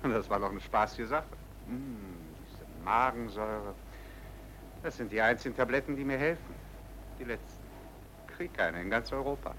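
A man's voice: medium pitch, moderate pace, pushed and bright, a little hiss or hum, close to an everyday voice, low-quality recording. A male actor playing a jovial, cynical racketeer in hiding in a German drama, breezy and dismissive in manner. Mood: breezy, amused. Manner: breezy, dismissive, sighing and chuckling. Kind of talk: German radio drama or film-dub acting.

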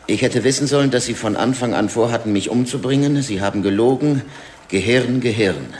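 An actor playing a queasy, downbeat police detective in a radio drama, speaking in a blunt, hesitant, wry way. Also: male voice, medium pitch, a little fast, a little mellow, lightly acted. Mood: grim, reporting. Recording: faint location-style ambient noise.

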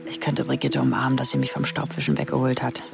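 An actress playing a naive, curious seventeen-year-old secretary in a radio drama, acting and narrating in a questioning way. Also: female voice, extremely low pitch, flat delivery, fast talker, creaky, over music, exaggerated voice. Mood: reflective, impressed. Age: young adult.

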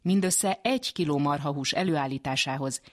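A woman presenting a radio programme in scripted narration. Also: deep voice. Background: music behind the voice.

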